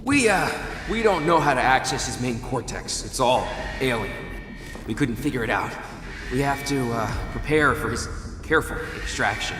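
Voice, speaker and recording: A man's voice: mid-range voice, animated, moderate pace, natural voice. An actor playing a flustered, timid male scientist in a game, stammering and deferential. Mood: panicky. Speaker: nervous staff scientist. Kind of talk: game cutscene dialogue. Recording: echoey hall, music behind.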